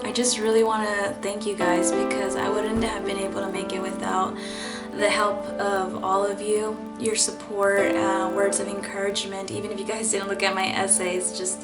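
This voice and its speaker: A woman's voice: mid-range voice, roomy sound. A young woman, a college student, sharing her faith story in a personal vlog, speaking earnestly and reflectively with frequent fillers and restarts. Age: young adult.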